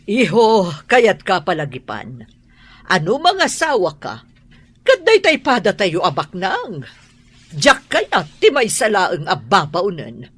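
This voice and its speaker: A woman's voice: medium pitch, wildly swooping pitch, slow, husky, heavily acted. A woman with an Ilocano accent playing a haughty, domineering rich mother in a radio drama, imperious and scolding. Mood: probing.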